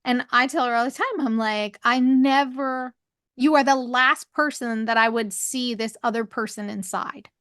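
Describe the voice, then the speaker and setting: A woman with a higher voice, co-hosting a podcast and talking openly in a rambling, candid way. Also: moderate pace, fairly bright tone, no room sound, clean studio sound. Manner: rambling, restarts, laughs often. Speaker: podcast co-host. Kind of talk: candid conversational chat.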